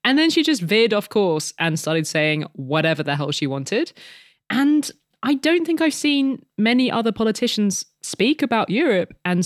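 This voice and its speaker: A woman's voice: mid-range voice, dry recording, clean studio sound. A woman co-hosting a podcast, talking in a candid, frank and casual way. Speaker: podcast co-host.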